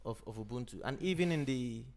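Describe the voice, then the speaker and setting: A man from Nigeria, an Igbo speaker, talking in a thoughtful, unhurried way as a podcast host. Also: medium pitch, expressive, a little mellow. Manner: thoughtful, unhurried, frequent "you know". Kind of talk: reflective conversation.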